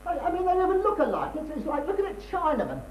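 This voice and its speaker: Distant and echoey, an actor plays a muddled British husband in a stage comedy. He talks quickly and excitably in a high male voice, tripping over and repeating his words. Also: muffled recording, slight background noise, heavily acted. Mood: flustered. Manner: stammering, repetitive, agitated. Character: flustered, forgetful middle-class British husband. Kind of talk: amateur stage comedy acting. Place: theatre stage, recorded from afar.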